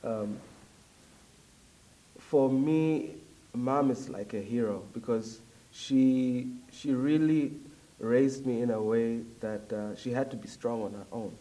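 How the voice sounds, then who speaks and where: A young man from Zambia, talking as a guest in a casual interview, reflective and hesitant with frequent pauses. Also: medium pitch, dark tone, recorded from a distance, rough recording. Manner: reflective, hesitant with many ums.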